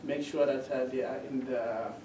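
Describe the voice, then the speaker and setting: A man on a university panel giving measured advice, pausing often with fillers. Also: mid-range voice, steady tone, dark tone. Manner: measured, with frequent pauses and fillers. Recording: echoey room from far off, with noise.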